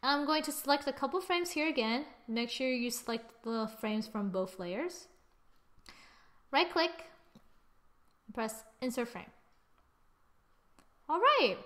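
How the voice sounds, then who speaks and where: A young adult woman giving a casual software tutorial, with a playful, exclaiming manner and little sing-song asides. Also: high voice, animated, takes it slowly, long pauses. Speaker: tutorial presenter. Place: screen-recorded voice-over.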